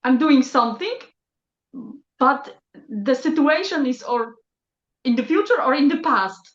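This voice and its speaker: A woman who learned English later shares her thoughts in a group call, halting and reflective as she searches for words. Her voice sits fairly high. The call audio is echoey and dull. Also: lively intonation, a little hiss or hum. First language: not English. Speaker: session participant.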